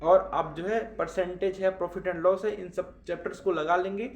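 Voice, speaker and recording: High-pitched man, echoey room. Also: steady tone, moderate pace, dull audio, slight background noise. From India. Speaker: exam-prep coach. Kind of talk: informal exam analysis for students. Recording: roomy echo.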